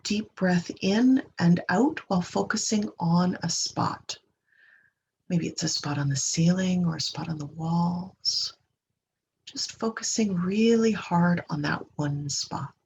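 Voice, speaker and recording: A woman leading a guided meditation in a gentle, unhurried manner, leaving long pauses and trailing off breathily at the ends of phrases. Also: on the low side. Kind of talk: guided meditation, slow soothing instruction. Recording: dull lo-fi recording with clear background noise.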